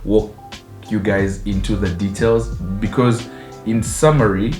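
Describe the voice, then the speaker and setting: A young man from Uganda co-hosting a couple's channel, telling their story in a relaxed, chatty way and laughing often. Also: fairly low pitch, measured pace. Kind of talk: casual storytelling chat. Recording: echoey room with guitar music.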